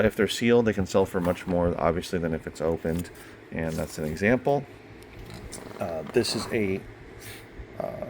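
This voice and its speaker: Low-voiced man chatting casually. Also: a little mellow, slight background noise. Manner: rambling, unscripted delivery with trailing asides. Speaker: online reseller and bookstore owner. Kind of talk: casual chat.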